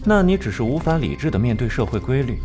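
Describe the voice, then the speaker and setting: An actor voicing a cold, aloof genius detective in an animated drama, lecturing with mocking detachment. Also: male voice, mid-range voice, quick pace, close to an everyday voice. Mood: cold, dismissive. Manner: mocking, lecturing, coolly rational. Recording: music behind the voice.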